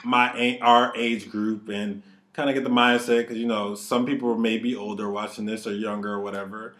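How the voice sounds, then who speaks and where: A man in his late twenties hosting a casual chat, talking with hype and drawn-out slang. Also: fairly low pitch, level tone, fairly bright tone. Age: 20s. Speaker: channel host.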